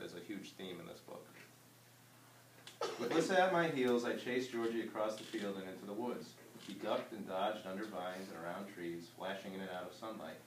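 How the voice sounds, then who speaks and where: A man teaching a class, reading a story aloud and putting questions to his pupils. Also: lowish voice. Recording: roomy distant mic with background noise.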